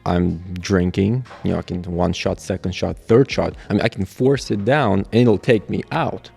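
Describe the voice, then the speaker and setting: A man's voice: sits low, steady tone, moderate pace, vocal fry, a little mellow, slightly rough, faint music behind. A young adult man from Russia, a native Russian speaker, telling candid stories in a casual podcast conversation. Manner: reflective, candid storytelling. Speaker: guest, catering business owner.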